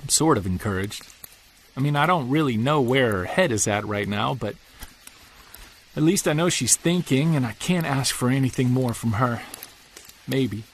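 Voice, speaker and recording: An actor playing an earnest, soft-spoken Bigfoot investigator who narrates his own story in an audio drama. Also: medium pitch, lively intonation, normal speed, long pauses, breathy edge. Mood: hopeful.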